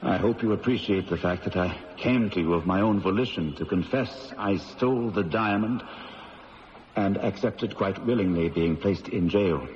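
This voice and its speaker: An actor playing a cold, low-voiced diamond thief. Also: male voice, lightly acted. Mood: confessing. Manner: calm, measured, quietly menacing. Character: cold calculating male diamond thief, ruthless. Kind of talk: 1970s radio drama acting. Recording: lo-fi old radio broadcast with hiss.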